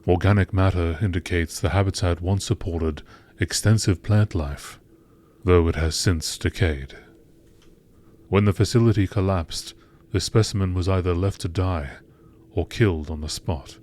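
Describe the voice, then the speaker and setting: A man reading scripted scientific entries in a measured, matter-of-fact nature documentary style. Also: low voice, fairly even intonation, a little mellow. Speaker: narrator reading game lore entries.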